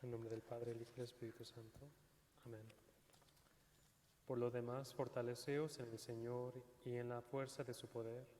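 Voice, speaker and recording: A man, a priest, reads a scripture prayer in a measured, solemn way inside a church. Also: medium pitch, level tone, warm tone. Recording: church reverb.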